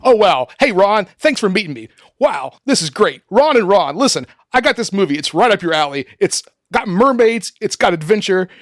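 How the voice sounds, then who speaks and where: A man playing an eager, excitable film director pitching in a comedic amateur radio play, gushing and halting as he goes. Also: no room sound. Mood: gushing, excited. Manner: gushing, halting salesman patter.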